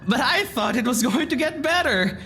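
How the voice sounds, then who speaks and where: An actress playing a tender but angry woman full of regret in an audio drama, speaking haltingly and with emotion. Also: high-pitched, animated, a bit bright, soft background music. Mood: angry, hurt. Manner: halting, emotional, sighing pauses.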